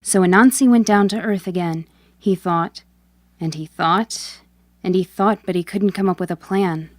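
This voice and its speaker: A woman with a medium-pitched voice narrates a storybook tale as host. Her scripted delivery is clear and measured. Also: no echo.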